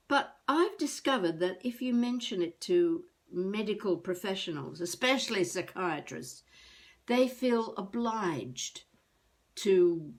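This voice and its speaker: Actress as elderly would-be novelist woman: unhurried, halting, wry, self-mocking video-diary monologue. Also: medium pitch, close to an everyday voice. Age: older. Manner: musing, halting, talking to herself.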